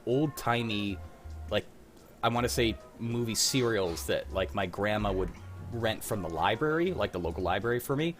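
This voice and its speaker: A man's voice: lowish voice, lively intonation, normal speed, soft background music. A young adult man hosting a casual trivia quiz and chatting with a group in an online livestream. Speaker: quiz host. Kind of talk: casual chat and trivia hosting over music.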